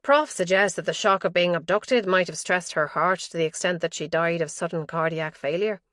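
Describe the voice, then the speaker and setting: A woman reading a thriller audiobook in a low, measured voice. The sound is very dry and close, as if from a studio booth. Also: fairly bright tone, no echo. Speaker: audiobook narrator. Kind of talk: scripted narration.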